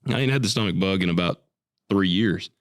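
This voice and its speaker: A man and farmer co-hosting a casual podcast, sick with a cough and a deeper voice than usual, blunt and joking. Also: brisk, dry recording, studio quality. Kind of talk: casual chat.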